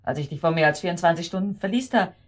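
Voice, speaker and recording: A woman's voice: on the low side, lively intonation, quick pace. A woman playing a cold, scheming, scornful murder accomplice in a German radio drama, pointed and sarcastic in her delivery. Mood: suspicious, probing.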